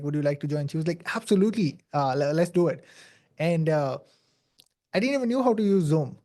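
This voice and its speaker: Young male podcast guest, Pakistani accent, non-native English, higher-pitched but mellow, earnest and filler-heavy. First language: not English. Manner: earnest, many "like" fillers and restarts. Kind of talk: casual interview storytelling.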